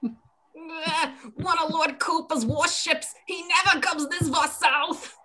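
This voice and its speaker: A woman's voice: sits high, steady tone, slow, bright, forceful tone, faint music behind, heavily acted, poor audio. A woman voicing a small, grumbling mushroom sidekick in an amateur script reading, with emphatic cartoonish delivery.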